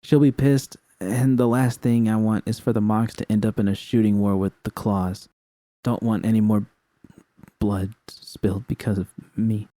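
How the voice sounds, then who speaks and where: A man reading aloud, voicing a distraught, guilt-ridden young woman in high, strained, pleading tones. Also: little pitch movement, moderate pace, warm tone, dry recording, close to an everyday voice. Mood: crushed.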